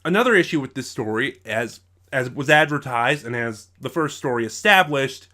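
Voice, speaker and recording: A man's voice: mid-range voice, lively intonation, moderate pace, bright, forceful tone. A man reviewing audio dramas in unscripted commentary, thinking aloud and often rephrasing himself mid-sentence.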